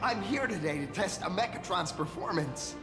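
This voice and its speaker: Excitable high-pitched young hero. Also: male voice, normal speed. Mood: earnest, polite. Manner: gushing, flustered, stammering. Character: excitable earnest young male hero-actor, starstruck fan. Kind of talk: anime-style game voice acting. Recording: long reverb, music behind.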